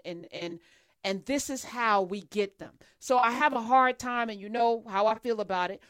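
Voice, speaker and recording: A woman's voice: mid-range voice, dry recording, clean studio sound. A woman co-hosting a talk show, making earnest, emphatic points in building lists before asking her guest a question. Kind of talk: conversational discussion.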